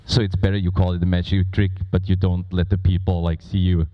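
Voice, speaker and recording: A man who is not a native English speaker moderating a conference on stage, talking informally and playfully with a loud, projecting voice. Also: deep voice, level tone, brisk, lo-fi sound, soft background music. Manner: playful, bantering with audience. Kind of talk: informal live stage presentation. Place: conference stage before a large audience.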